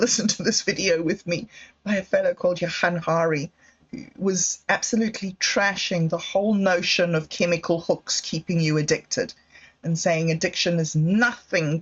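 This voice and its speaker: A woman's voice: on the low side, husky, highs cut off. A woman vlogger talking through her thoughts in a reflective, digressive way, given to self-mocking laughter and close to happy tears. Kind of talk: reflective personal vlog monologue.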